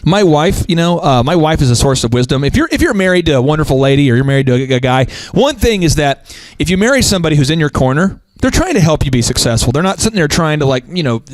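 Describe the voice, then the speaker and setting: Animated, emphatic male host with a higher voice. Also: fast talker, few pauses, no echo. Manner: emphatic and preachy, with many restarts and repeated words; swoops up into high pitch for emphasis. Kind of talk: motivational business talk show.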